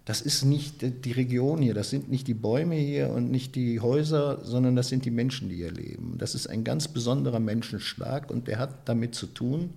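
A man from the Ruhr area, giving a reflective interview and often pausing with hesitations and restarts. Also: mid-range voice, gravelly, mellow. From Ruhr area, Germany. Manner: thoughtful, many hesitations and restarts. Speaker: interviewee, photographer and author.

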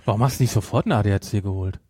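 A man's voice: fairly low pitch, racing, warm tone, no room sound, clean studio sound. A middle-aged man chatting casually and jokingly as a podcast co-host, rambling as he goes. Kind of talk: casual unscripted podcast chat.

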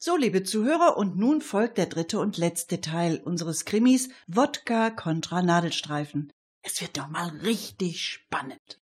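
Slightly husky woman presenter. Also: fairly low pitch, big pitch swings, a little slow. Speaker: radio show presenter and co-narrator. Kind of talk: scripted presenting and story narration.

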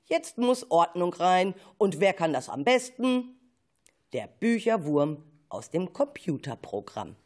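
A woman playing a brisk commenting narrator in a children's radio play. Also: on the low side, big pitch swings, heavily acted.

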